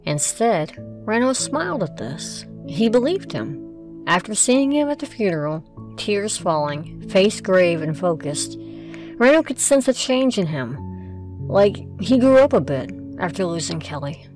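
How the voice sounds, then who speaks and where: A woman's voice: medium pitch, very animated, measured pace, darkish tone, faint music behind, poor audio. A woman reading scripted narration for an audio drama.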